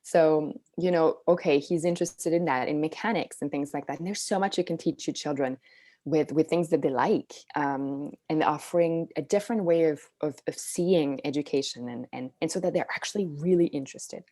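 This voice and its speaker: Very low, mellow woman explaining casually. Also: normal speed. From Switzerland. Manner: informal, hedging with "like" and "you know". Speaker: interview guest, Montessori parenting coach. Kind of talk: casual explanatory interview talk.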